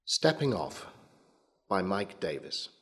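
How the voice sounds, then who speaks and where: A man reading a short story as a dramatic narrator, in a measured and sombre manner. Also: low voice, big pitch swings, fairly bright tone. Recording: long hall reverb, clear background noise.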